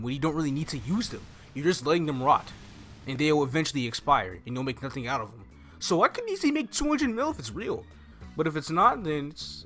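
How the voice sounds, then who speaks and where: A young man hosts a casual gaming walkthrough, explaining each step informally. His voice is bright and forward at an ordinary male pitch. He speaks close to the mic, with faint music playing underneath. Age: young adult. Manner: informal, mildly profane, talking through each step. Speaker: gaming video host. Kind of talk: casual game walkthrough commentary. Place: indoor room at home. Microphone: desk microphone close to the mouth. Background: faint game music.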